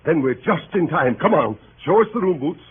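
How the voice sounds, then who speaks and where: An actor playing a peremptory, irate middle-aged country gentleman, emphatic in the 1930s radio manner. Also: on the high side, expressive, fairly quick, slightly rough. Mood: urgent. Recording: scratchy phone-like 1930s radio recording.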